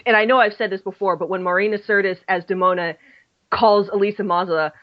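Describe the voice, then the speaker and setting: A woman's voice: mid-range voice, fairly even intonation, fast, no echo, lo-fi sound. A woman hosting a casual fan podcast, chatty and enthusiastic, often restarting her sentences. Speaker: podcast host.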